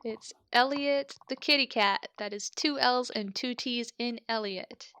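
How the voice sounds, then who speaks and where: A woman with a smooth, fairly high voice who speaks slowly and playfully. Her voice is recorded dry and close, with no room sound. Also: bright, forceful tone, no room sound. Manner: playful, gushing. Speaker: player. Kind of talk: casual podcast chat.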